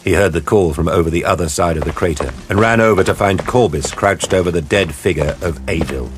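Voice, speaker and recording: Deep-voiced male dramatic narrator over music. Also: fairly quick.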